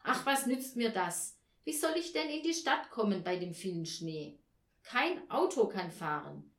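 A woman's voice: mid-range voice, sing-song, a little slow, a bit bright, roomy sound. A woman voicing an irritable, short-tempered mother who complains in a heated, emphatic way, in a storybook reading. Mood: exasperated.

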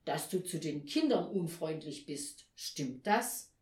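A woman's voice: animated, slow, a little mellow, roomy sound. A woman voicing a stern old Saint Nicholas who questions like a schoolmaster in a children's storybook. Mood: stern, probing. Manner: stern, booming, interrogating.

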